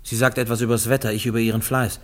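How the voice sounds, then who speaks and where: An actor in a German radio play as a simple, dutiful railway guard, earnest and husky. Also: male voice, mid-range voice, little pitch movement, fast talker, close to an everyday voice. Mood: earnest, homely.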